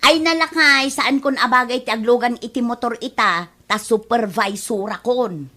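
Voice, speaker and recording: A woman plays a snobbish Ilocano supervisor who puts on airs in a radio comedy. Her voice is high and sharp, fussy and full of self-importance. Soft music plays behind. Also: moderate pace. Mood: boastful. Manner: haughty, fussy. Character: snooty, boastful newly promoted supervisor wife.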